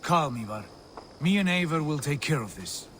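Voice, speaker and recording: Lively-voiced Viking leader. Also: male voice, fairly high pitch, normal speed, slight background noise. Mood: composed. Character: confident male Viking leader, wry and composed. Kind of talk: video game character acting.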